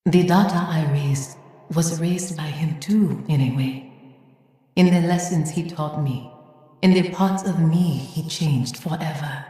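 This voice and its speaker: Slow-spoken, wistful veteran guardian. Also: female voice, low-pitched, dark tone. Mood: reflective. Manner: measured, wistful, earnest. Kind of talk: dramatic video game monologue. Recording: very echoey, long reverb tail.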